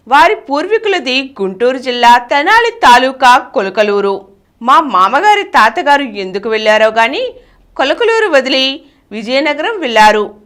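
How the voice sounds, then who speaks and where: A woman reads a written memoir aloud in the first person. Her voice sits high and moves through a wide, animated range of pitch. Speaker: reader of a memoir.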